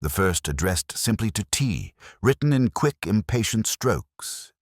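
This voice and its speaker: A man playing a melancholy, reflective police inspector, narrating his case in a measured, literary way like an audio drama. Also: fairly low pitch, very animated, dry recording, clean studio sound, pitched above the performer's own voice, full character voice. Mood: brooding, reflective. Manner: measured, literary, brooding delivery. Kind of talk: scripted first-person detective audio drama narration.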